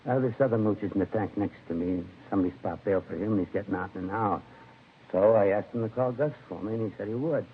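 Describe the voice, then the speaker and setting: An actor playing a hungover American laborer in a 1950s radio crime drama, slangy, aggrieved and rambling. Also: male voice, fairly low pitch, fast, phone-quality sound, natural voice. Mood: groggy, recollecting. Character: grumbling, hungover male laborer in jail. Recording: thin, faintly noisy vintage radio recording.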